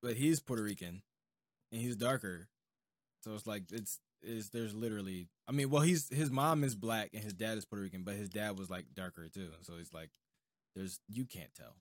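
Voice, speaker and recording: A man's voice: lowish voice, moderate pace, dry recording. A man hosting a podcast, chatting casually and informally. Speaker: podcast host.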